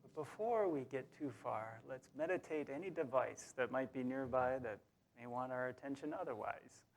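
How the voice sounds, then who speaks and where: A man leading a guided meditation in a soothing, unhurried way, leaving long pauses between short phrases. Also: mid-range voice, low-quality recording. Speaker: meditation leader.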